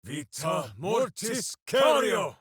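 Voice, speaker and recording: An actor playing a big-hearted, boisterous, simple-minded heroic ranger in a video game, declaiming theatrically. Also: male voice, sits high, sing-song, slow, a bit bright, husky, dry recording, clean studio sound, full character voice. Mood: incanting. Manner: theatrical, declaiming, speaks of himself in third person. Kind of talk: video game character voice lines.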